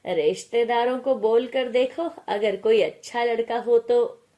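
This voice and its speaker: A woman voicing an easygoing middle-aged father in a story, measured, agreeable and practical. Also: on the high side, warm tone, close to an everyday voice. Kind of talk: acted storytelling dialogue.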